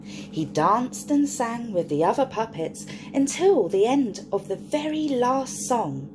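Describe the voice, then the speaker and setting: A woman's voice: a little high, very animated, takes it slowly, over music, rough recording. A woman reading a picture book aloud to young children in a sing-song storytime voice, often stopping to ask the listener questions.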